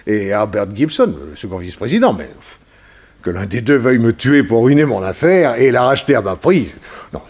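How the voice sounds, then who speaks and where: A man's voice: mid-range voice, very animated, a little fast, darkish tone. An actor playing a worried, wealthy middle-aged businessman in a 1960s radio serial, hesitant and stammering. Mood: puzzled, uneasy.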